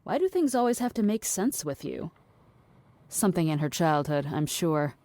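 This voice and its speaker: A woman playing a skeptical, needling philosophical character in an audio drama, measured and condescending in delivery. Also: sits low, big pitch swings, dark tone. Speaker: actor.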